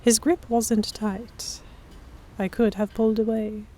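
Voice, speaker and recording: A woman's voice: a little high, flat delivery, takes it slowly, mellow. A woman narrating an audio drama in the first person and acting out the dialogue. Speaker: narrator and lead actor.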